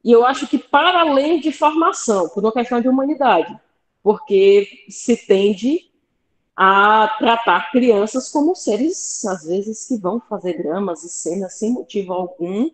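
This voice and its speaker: A woman's voice: fairly high pitch, measured pace, echoey room. A woman from Fortaleza with a Brazilian Portuguese accent, talking casually as a podcast guest in a thoughtful, hesitant way, speaking from home with headphones. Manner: thoughtful, hesitant, with light laughs and asides. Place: home room with plants behind. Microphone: headphones on a video call.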